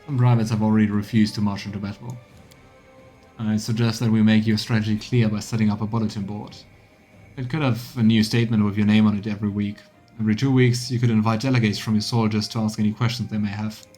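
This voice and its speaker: A grave army captain from a fantasy game, played by a man, pitched down from his usual talk. He is formal and duty-bound, giving his reports with soldierly firmness over faint music. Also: little pitch movement, fast, warm tone, close to an everyday voice. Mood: grave concern.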